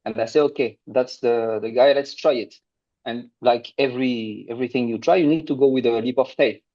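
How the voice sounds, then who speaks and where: French-accented man, mellow and earnest. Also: mid-range voice, fairly even intonation, lo-fi sound. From France. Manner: earnest, halting with restarts. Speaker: guest, agency owner. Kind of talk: casual interview.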